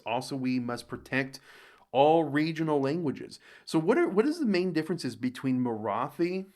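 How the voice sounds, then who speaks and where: A young American man talks casually in a mid-pitched voice, reading viewer comments aloud and mulling them over. He rambles, trails off and restarts his sentences as he works out his thoughts. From United States. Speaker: reaction video host.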